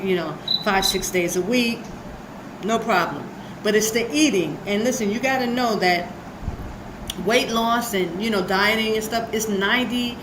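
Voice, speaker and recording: A woman's voice: medium pitch, background noise. Earnest rambling woman in an echoey room. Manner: rambling, earnest, many fillers. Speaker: channel host. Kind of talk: casual reaction commentary.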